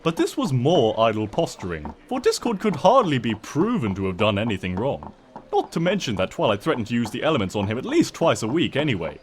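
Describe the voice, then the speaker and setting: A man's voice: medium pitch, wildly swooping pitch, a little fast, slight background noise, voice pushed down. A man voicing a smug, mock-innocent trickster creature who narrates a comic storybook tale in a pompous, wry, theatrical way.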